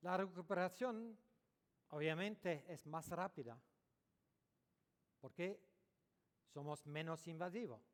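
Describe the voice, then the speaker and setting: A man speaking Spanish as a non-native speaker, giving a dental conference lecture, pausing often and correcting his own words. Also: on the high side. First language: French or Italian, not Spanish. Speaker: dentist giving a conference talk. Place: large lecture hall. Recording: echoey hall recording.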